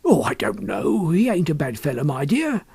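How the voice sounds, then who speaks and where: A man's voice: fairly high pitch, big pitch swings, normal speed, creaky, a little mellow, breathy edge, full character voice. A man voicing an obsequious, wily antique dealer in an audiobook, full of wheedling sales patter and colloquial grammar. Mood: easygoing. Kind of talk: audiobook character acting.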